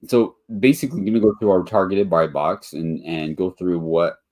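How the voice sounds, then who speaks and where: Very deep-voiced man, nasal and stuffy. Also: moderate pace, a little mellow. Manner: rambling, many ums and restarts. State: congested from allergies. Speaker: webinar host, motel investor. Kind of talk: casual live webinar presentation.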